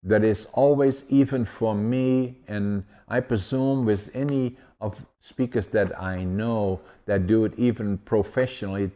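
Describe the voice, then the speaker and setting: Low, mellow-voiced host. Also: male voice. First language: Dutch. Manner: long, meandering questions. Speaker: podcast host. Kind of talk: conversational interview.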